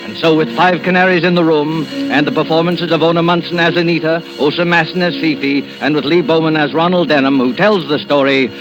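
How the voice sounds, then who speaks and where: An actor playing an ominous, suave male mystery host, giving a formal, measured introduction in 1940s radio style. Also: on the high side, steady tone, close to an everyday voice. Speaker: announcer. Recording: dull old radio recording, loud music.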